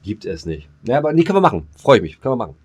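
A man's voice: mid-range voice, very animated, rapid-fire, a little mellow, husky. A man, chatting casually as a podcast co-host in a slangy, crude, rambling way.

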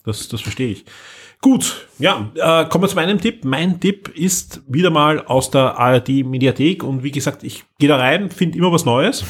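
A man with a slightly husky voice, hosting a casual podcast chat in a hesitant, conversational way. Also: fairly high pitch, lively intonation, normal speed. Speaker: podcast host. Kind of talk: casual podcast chat about radio plays.

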